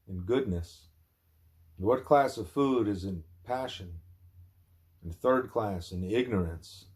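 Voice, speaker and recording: A man narrating a spiritual text aloud in deliberate, emphatic phrases. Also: mid-range voice, a little mellow. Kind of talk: scripted narration, broken by asides to a small child.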